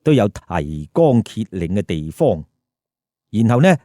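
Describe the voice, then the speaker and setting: A man's voice: lowish voice, sing-song, measured pace, dark tone, no echo, clean studio sound. A man with a Hong Kong Cantonese accent telling a story with colloquial, emphatic commentary and rhetorical questions. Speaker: storyteller host.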